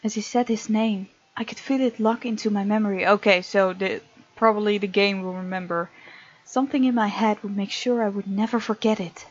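A woman hosting a let's play, reading game text aloud with playful, expressive asides. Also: medium pitch, a little fast, highs cut off. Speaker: gaming video host.

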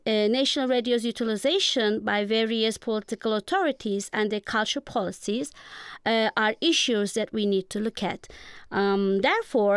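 A woman's voice: on the high side, clear, resonant tone, no room sound, studio quality. A woman with a Turkish accent, a guest professor giving explanatory answers in a radio interview.

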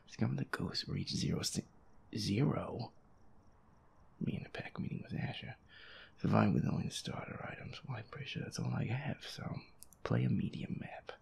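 Feisty, reluctant werewolf boyfriend played by a man for audio roleplay: heavy vocal fry, breathy near-whispers, muttered swearing over a horror game. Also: on the low side. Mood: grumbling, resigned. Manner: grumbling, sweary, muttering to himself. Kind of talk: casual gameplay commentary, audio roleplay.

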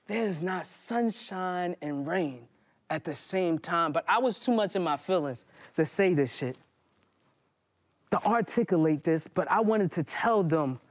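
A man in his thirties with an American accent, performing a poetry monologue with dramatic, deliberate phrasing and pauses. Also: high-pitched, expressive. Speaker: spoken-word poet and stage performer. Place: indoor hall stage before small audience.

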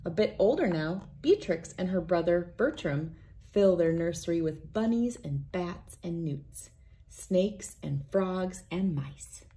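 A woman in her thirties reading a children's picture book aloud in a deliberate, expressive storytelling manner. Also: on the low side. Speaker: read-aloud host. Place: indoors, wood-panelled room with large windows.

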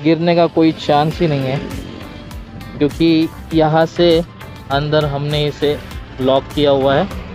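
A man's voice: fairly high pitch, level tone, unhurried, long pauses, a little mellow, soft background music. A man with an Indian accent giving casual, informal vlog commentary as a motovlogger, mixing two languages as he goes. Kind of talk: casual vlog commentary in mixed Hindi and English. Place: outdoors on rural trails and roads, while riding a scooter. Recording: slightly dull outdoor recording with vehicle noise.

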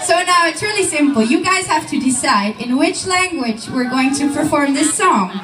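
A woman with a Swiss German accent talking to an audience from a concert stage, shouting her words out to the crowd. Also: high voice, lively intonation, normal speed. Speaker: band singer addressing the crowd. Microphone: stage vocal mic through PA, filmed from the crowd. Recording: far-off echoey phone concert recording, loud crowd.

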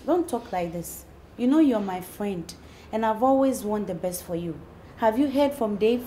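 Animated, high-voiced Ghanaian woman friend. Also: moderate pace, reverberant, a little hiss or hum. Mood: soothing. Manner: pleading, emphatic. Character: caring, anxious female friend urging calm. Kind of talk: emotional film drama dialogue in Twi and English.

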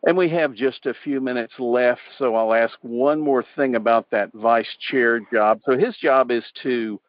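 A man's voice: mid-range voice, measured pace, no echo. A man hosting a church radio interview, asking questions in a plain conversational way. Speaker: radio host. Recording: dull lo-fi sound.

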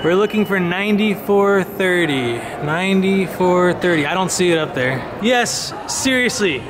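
A man in his thirties vlogging casually, excitable and wisecracking, filming himself at arm's length in a large train station. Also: sits high, unhurried. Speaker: travel vlogger. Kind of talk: casual vlog narration. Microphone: handheld camera at arm's length. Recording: loud crowd noise behind. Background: crowd chatter and station bustle.

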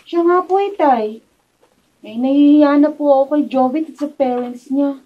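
An actress plays an embarrassed grown daughter with a Filipino accent in a radio drama. Her protesting voice is very high. The studio audio sounds a little dull. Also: normal speed, natural voice. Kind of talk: Tagalog radio drama acting.

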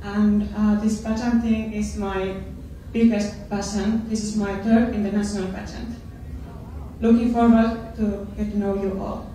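A woman's voice: mid-range voice, flat delivery, normal speed, warm tone. A young woman from Finland, a pageant contestant introducing herself cheerfully. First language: Finnish. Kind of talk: self-introduction. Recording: echoey hall from far off, faint noise.

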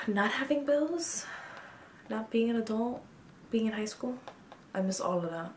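A woman's voice: fairly high pitch, lively intonation, measured pace, echoey room, slight background noise. Chatty twenties woman vlogging in a bedroom. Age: 20s. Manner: chatty, frank, with frequent ums and likes. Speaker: beauty vlogger. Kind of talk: casual get-to-know-me chat to camera. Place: small bedroom, indoors.

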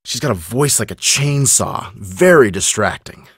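An actor playing an eager, level-headed young American detective in a video game, talking in an earnest, teasing, conversational way. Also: male voice, medium pitch, lively intonation, unhurried, fairly bright tone, breathy edge, no echo, clean studio sound. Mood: amused, chatty. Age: young adult.

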